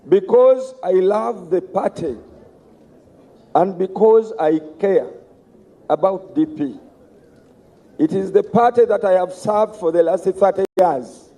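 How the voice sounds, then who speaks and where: This is a middle-aged man with a hoarse voice. He gives a campaign speech to party delegates, slow and weighty. His tone is very dark and low in brightness, though his pitch sits fairly high for a man. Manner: slow, emphatic orator. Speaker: candidate for party office.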